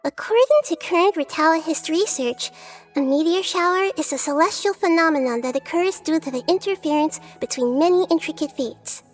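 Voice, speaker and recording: A woman voicing a gentle, wise, childlike little deity in a video game, speaking in a measured, thoughtful way. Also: sits high, soft background music, close to an everyday voice. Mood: calm explaining.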